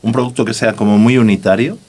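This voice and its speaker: A man's voice: medium pitch, steady tone, fast talker, darkish tone. A man interviewing a guest in a conversational way, probing and rephrasing his questions as he goes. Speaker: podcast interviewer.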